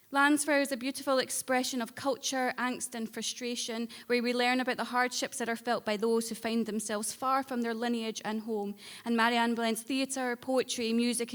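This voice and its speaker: A woman with a fairly high voice reads out prepared award citations at a live ceremony. Her delivery is measured and articulate, and the hall gives it a long echo. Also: fairly bright tone. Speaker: award judge announcing shortlist. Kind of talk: prepared presentation speech. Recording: echoey hall with long reverb.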